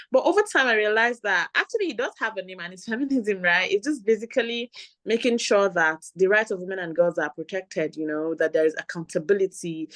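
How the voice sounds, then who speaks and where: A woman's voice: fairly low pitch, no room sound. A woman from northern Nigeria with a Nigerian accent, a rights advocate speaking earnestly as a guest, often restarting her phrases. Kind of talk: conversational interview.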